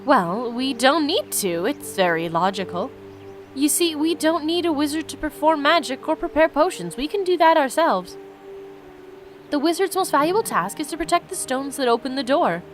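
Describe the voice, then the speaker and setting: A woman's voice: high-pitched, lively intonation, normal speed, soft background music. Woman playing a patient, courteous fantasy king.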